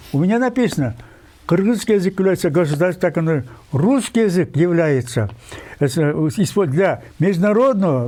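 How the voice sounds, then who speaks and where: High-voiced older man, insistent and digressive. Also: darkish tone. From Kyrgyzstan. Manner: insistent, digressive, many pauses and fillers. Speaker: guest writer. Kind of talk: emphatic interview talk.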